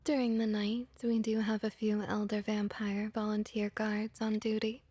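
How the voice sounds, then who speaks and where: A woman playing a friendly, comforting vampire innkeeper in a soft-spoken ASMR roleplay, gentle, reassuring and often whispery. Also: mid-range voice, monotone, moderate pace, husky, no echo, lightly acted. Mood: soothing, reassuring.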